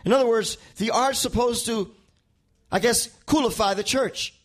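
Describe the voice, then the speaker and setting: Male pastor, Spanish accent, high voice, preaching, repeats words as he builds toward a point. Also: moderate pace. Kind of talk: sermon.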